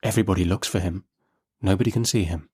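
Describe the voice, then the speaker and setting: A man's voice: sits low, fast, darkish tone, slightly rough. A man giving a dramatic, literary audiobook narration. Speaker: narrator and host.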